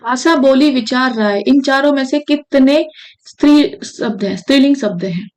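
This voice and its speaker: A woman from India teaches an exam-prep grammar lesson, her voice sitting a little high. She repeats each key phrase and keeps checking in with her viewers as she works through the answers. She speaks close to the mic in a solo teaching video. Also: moderate pace, a little mellow.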